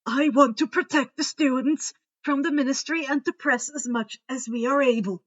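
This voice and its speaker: A woman's voice: high voice, moderate pace, dull audio. A woman voicing a kindly, cheerful old male wizard headmaster who gives orders emphatically in a storybook reading. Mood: firm, reassuring.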